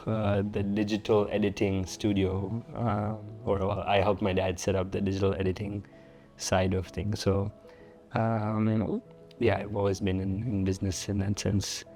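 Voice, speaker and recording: A middle-aged man from Tanzania speaks as an interview guest, relaxed and unhurried, with frequent fillers and small restarts. His voice sits low and mellow, and he keeps it fairly flat. Soft music plays faintly behind him. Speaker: founder and guest. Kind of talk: casual interview talk.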